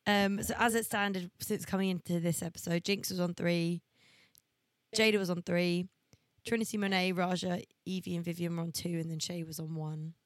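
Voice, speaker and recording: A hoarse woman co-hosting a podcast in casual, blunt, jokey chat. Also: on the low side, fairly even intonation, normal speed, dry recording, clean studio sound. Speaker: podcast co-host.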